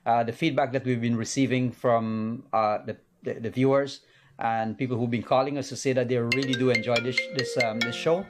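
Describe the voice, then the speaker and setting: A man's voice: mid-range voice, steady tone, a little fast, music in the background. A man hosting a live call-in medical show in a studio, talking casually with many fillers and restarts. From Guyana.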